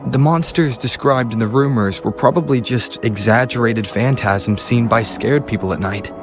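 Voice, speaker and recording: A man voicing a calm, observant, analytical investigator in a video game, speaking in a measured, explanatory way. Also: medium pitch, close to an everyday voice. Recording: game music behind the voice.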